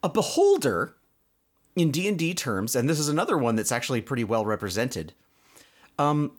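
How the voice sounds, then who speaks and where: A man's voice: mid-range voice, big pitch swings, normal speed. A man hosting a podcast, casually recapping a cartoon episode in a conversational way with explanatory asides. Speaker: podcast host.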